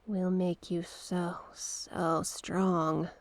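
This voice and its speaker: A woman plays a sea witch who soothes and possesses in equal measure. Her speech is very slow and close, in a dry space, with a crackle of vocal fry. She teases and coaxes in a hypnotic ASMR monologue, often sinking into breathy whispers. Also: fairly low pitch, flat delivery, dry recording. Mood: possessive, coaxing. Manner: teasing, coaxing, often dropping into breathy whispers.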